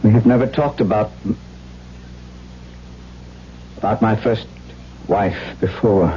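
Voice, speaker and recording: An actor playing a brooding, haunted aristocratic husband in a 1970s radio drama, speaking formally and earnestly. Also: male voice, fairly low pitch, normal speed, dark tone, slight background noise, close to an everyday voice. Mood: tender. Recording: dull vintage radio broadcast, music behind.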